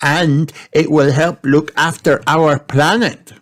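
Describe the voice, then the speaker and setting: A man voicing an eager, bossy little girl pig, excited and exclaiming, in a children's storybook. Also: a little high, slow, voice pushed up. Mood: cheerful, helpful.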